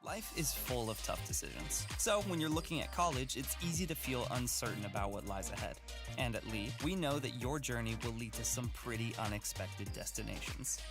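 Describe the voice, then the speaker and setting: A man reading a scripted promotional voice-over in a reassuring tone. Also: medium pitch. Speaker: promo narrator. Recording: music bed behind the voice.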